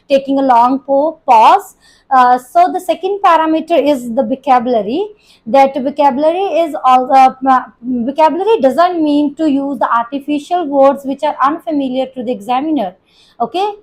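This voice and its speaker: A woman's voice: high-pitched, rough recording. A young woman with an Indian English accent with a Punjabi touch, teaching an English-test lesson with many fillers and restarts. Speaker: IELTS coaching teacher. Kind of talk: spoken lesson to students.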